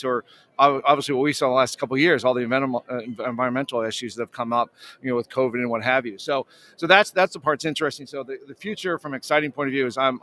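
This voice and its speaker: Fast-talking, enthusiastic man. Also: mid-range voice, fairly bright tone. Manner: enthusiastic, effusive. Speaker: interview guest, telecom executive. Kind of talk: casual live interview.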